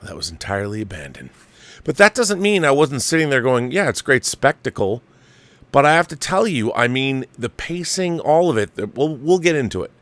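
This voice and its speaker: A man presents his film commentary show with a showman's theatrical flourish. His voice sits at a middling pitch, recorded dry and close with very little room sound. He talks casually and gives his opinions freely. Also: sing-song, normal speed, a bit bright, no echo. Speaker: host of a film commentary livestream.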